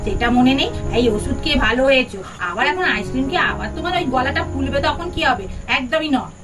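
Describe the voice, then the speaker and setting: A harried village housewife from a puppet doll story, acted by a woman, high-voiced and chatty, prone to grumbling. She sounds a little away from the mic, with music behind her. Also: animated, fast talker, far from the mic. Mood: firm.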